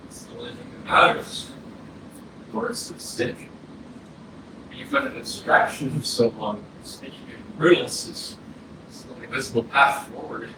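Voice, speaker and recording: A man's voice: medium pitch, wildly swooping pitch, a little slow, pauses often. A male actor playing a dead young man, bitter and yearning to live, in a blunt, impassioned staged play reading. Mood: resigned. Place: arts center theatre, staged reading. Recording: distant, very echoey hall, noisy air conditioning.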